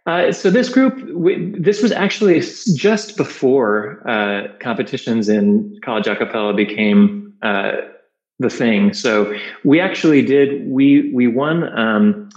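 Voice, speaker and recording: A man answering interview questions in a measured way, pausing and using fillers as he recalls. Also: mid-range voice, lively intonation, reverberant, muffled recording. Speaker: interview guest. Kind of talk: casual video-call interview.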